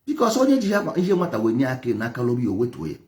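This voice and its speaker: Animated Igbo Nigerian man preaching emphatically. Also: medium pitch, very fast. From Nigeria, Igboland. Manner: emphatic, repeats phrases, rhetorical questions. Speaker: traditional religion teacher. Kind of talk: impassioned preaching and lecturing.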